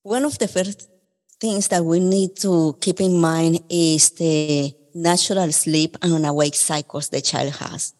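A woman from Colombia with a Colombian Spanish accent, explaining carefully and at a measured pace as an occupational therapist guest on a podcast. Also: low voice, fairly even intonation, a little mellow, long reverb tail.

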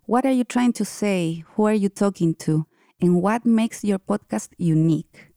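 A woman from Chile gives direct, steady advice in a mellow, dark voice. She is recorded close and dry, with no room sound. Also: mid-range voice, normal speed, no room sound. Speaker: podcast editor and host. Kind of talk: advice, read from a script.

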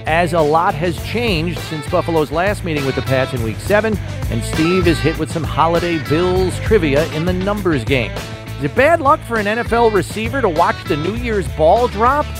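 A man hosting a sports podcast, delivering scripted lines in a measured, announcer-like way. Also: sits high, lively intonation, over music. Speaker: podcast host.

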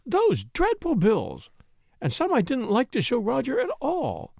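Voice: medium pitch, huge pitch swings, unhurried, gravelly, darkish tone, dry recording, clean studio sound, pitched above the performer's own voice, full character voice. A reader voicing a fussy, tearful lady who talks in a fluttery, rambling, self-pitying way. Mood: aggrieved. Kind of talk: audiobook character reading.

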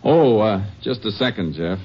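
A low-pitched American lawman played by a man, calm and methodical, questions people in a measured, matter-of-fact way. It is western radio drama from the 1950s, muffled and noisy with age. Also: close to an everyday voice. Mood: probing, calm. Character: Texas Ranger, calm, methodical, probing male investigator. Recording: muffled old radio recording with noise.

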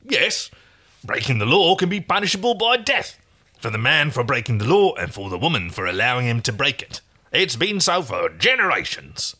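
A man's voice: medium pitch, very animated, moderate pace, sharp tone, breathy edge, voice pushed up, exaggerated voice. A man voicing a brash, lewd alien saleswoman who haggles pushily and flirts with customers. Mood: stern, shocked. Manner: flirty, pushy, calls people honey.

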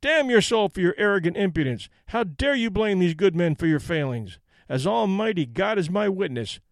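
A man's voice: high-pitched, lively intonation, normal speed, no room sound, clean studio sound, voice pushed up. A man voicing a furious male general, commanding and outraged, who curses and roars indignantly. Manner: roaring, cursing, indignant.

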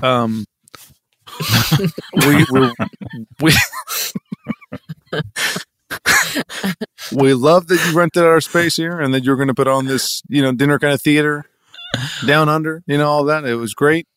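A man playing an inarticulate, hesitant venue owner in improvised comedy, stammering and halting as he makes demands. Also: fairly high pitch, fairly bright tone, no room sound. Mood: awkward, insistent.

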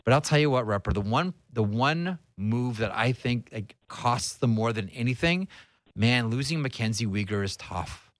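A man's voice: mid-range voice, lively intonation, moderate pace, no room sound. Man hosting casual hockey talk, dry sound. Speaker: sports talk show host.

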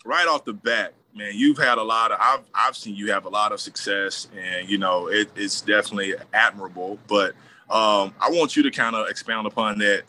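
A man interviewing a friend in a casual, hyped, slangy way, with loud exclamations. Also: fairly low pitch, normal speed, slight background noise. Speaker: guest interviewer.

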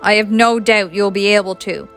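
A woman's voice: mid-range voice, normal speed, a bit bright, faint music behind, close to an everyday voice. A woman storyteller voicing a bashful young man, hesitant and awkwardly casual with his old friend. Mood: eager, reassuring.

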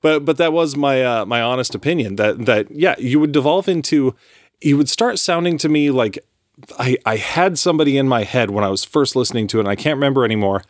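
A man's voice: medium pitch, animated, a little fast, no room sound, clean studio sound. A man co-hosting a podcast in casual chat, rambling with restarts and casual swearing. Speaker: podcast co-host.

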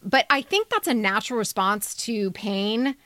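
Female podcast co-host and editor, blunt and emphatic, mid-pitched, recorded dry. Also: lively intonation, normal speed, sharp tone, no echo. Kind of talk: candid conversational story critique. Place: recording studio.

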